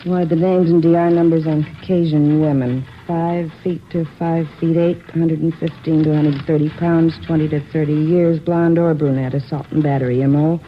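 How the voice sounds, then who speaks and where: A woman's voice: sits low, steady tone, a little hiss or hum, natural voice. Woman doing a no-nonsense clerk reading off a list, muffled old radio sound. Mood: businesslike. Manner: brisk, businesslike. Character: brisk woman nurse and records clerk. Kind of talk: 1950s radio drama acting.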